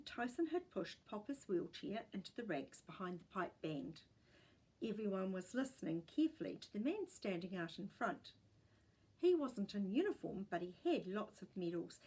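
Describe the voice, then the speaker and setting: A woman with a New Zealand accent reading a children's story aloud in an expressive storytelling style. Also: medium pitch, breathy edge, poor audio.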